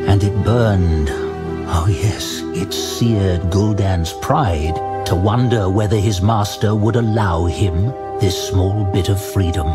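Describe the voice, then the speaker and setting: A man narrating a fantasy audio drama in a measured, deliberate, suspenseful storytelling style. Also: lowish voice. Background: music.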